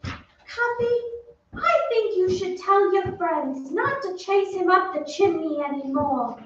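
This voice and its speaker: A woman's voice: very high voice, lively intonation, takes it slowly, exaggerated voice. A woman playing a polite, tender-hearted young noble girl in an old-time radio drama, earnest and well-mannered. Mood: tender, sympathetic. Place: on stage in a theatre. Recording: distant, echoey stage recording, muffled.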